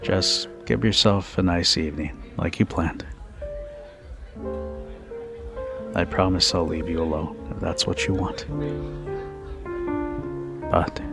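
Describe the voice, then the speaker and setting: A man playing a confident, protective bartender in an ASMR roleplay, teasing and flirty in an intimate, unhurried manner. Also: deep voice, steady tone, vocal fry, music in the background. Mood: soft, inviting. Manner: teasing, unhurried, with soft chuckles and pauses. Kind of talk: ASMR roleplay, intimate second-person monologue.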